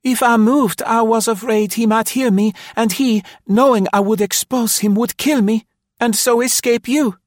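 Audiobook role: scheming, gasping woman spy voiced by a male reader, high, breathless and theatrically remorseful, recorded dry. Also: normal speed, no echo. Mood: anxious, breathless. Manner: breathless, pleading, dramatic. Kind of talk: audiobook character acting.

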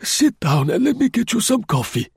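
Very old husky concierge with a cold, meek and pleading, pitched high above his own voice, gravelly edge. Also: big pitch swings, normal speed, no echo, clean studio sound, full character voice. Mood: meek protesting. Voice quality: husky, croaking.

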